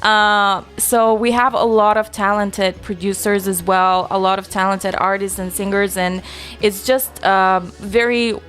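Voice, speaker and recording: Relaxed young woman from Bulgaria. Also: mid-range voice, measured pace, faint music behind. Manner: relaxed, chatty, laughs easily, trails off. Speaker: guest singer-songwriter. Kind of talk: casual interview chat.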